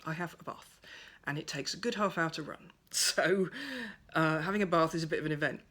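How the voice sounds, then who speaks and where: Soft-spoken woman, near-whispering at times. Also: deep voice, a little fast. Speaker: pagan speaker sharing reflections. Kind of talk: gentle reflective monologue. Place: at home by a window over a garden.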